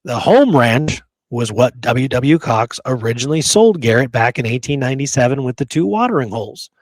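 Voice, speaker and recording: A man talks through history as a podcast host in a loose, colloquial style. His pitch sits in the middle range for a man and the sound is dry. He presses his points and tags them with quick questions to his listener. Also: animated, no room sound. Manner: colloquial, emphatic, punctuates points with check-in questions. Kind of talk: casual podcast talk recounting history.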